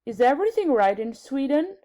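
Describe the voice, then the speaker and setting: A woman's voice: high voice, big pitch swings, a little fast, warm tone, pitched above the performer's own voice. A woman voicing a composed storybook queen, commanding and matter-of-fact.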